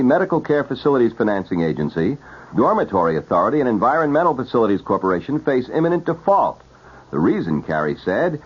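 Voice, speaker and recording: Male 1970s radio news anchor, slightly rough husky tone, formal measured read, muffled vintage broadcast sound. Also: mid-range voice. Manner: formal, measured announcer delivery. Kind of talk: 1970s scripted radio newscast.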